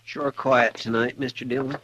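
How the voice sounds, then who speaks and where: A man's voice: mid-range voice, fairly even intonation, normal speed, fairly bright tone, slight background noise, close to an everyday voice, rough recording. An actor playing an anxious, loyal frontier deputy with a worried, folksy drawl who stammers when he frets, in 1950s radio drama style.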